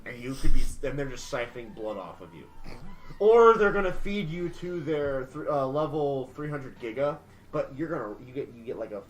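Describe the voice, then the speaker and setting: A man chatting casually about video games, animated and prone to exaggerate for emphasis. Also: mid-range voice, normal speed, poor audio.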